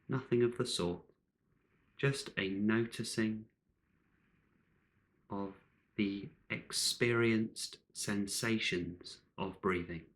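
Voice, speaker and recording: A man leading a guided meditation in calm, measured short phrases with long pauses between them. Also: fairly low pitch.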